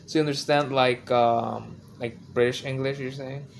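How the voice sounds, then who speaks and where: A young American man from California acts as interviewer in a casual setting. His mid-pitched voice has plenty of vocal fry as he moves between prepared questions and chat. Clear background noise sits behind him. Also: fairly even intonation, moderate pace. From California, United States. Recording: clear background noise, amateur audio log.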